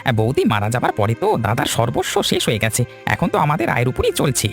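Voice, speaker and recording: Man voicing scheming cartoon farmer husband, bossy, gravelly, wildly swooping intonation, colloquial. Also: mid-range voice, huge pitch swings, fast, soft background music. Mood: wheedling. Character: middle-aged village farmer, bossy scheming husband who demands betel leaf. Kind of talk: cartoon dialogue.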